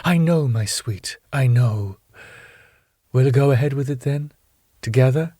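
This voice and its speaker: A man's voice: medium pitch, unhurried, mellow, no echo, studio quality, close to an everyday voice. A man playing a melodramatic, sardonic woman in a scripted sitcom, with a theatrical delivery. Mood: melodramatic, yearning. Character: melodramatic, sardonic woman, tango-dancing ballroom co-owner.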